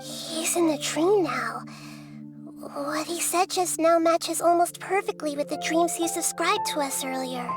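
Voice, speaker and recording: Childlike goddess, gentle, analytical, articulate, extremely high pitch, faint music behind, video game voice. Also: female voice. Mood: calm, thoughtful. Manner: measured, inquisitive, articulate. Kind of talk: anime-style video game acting.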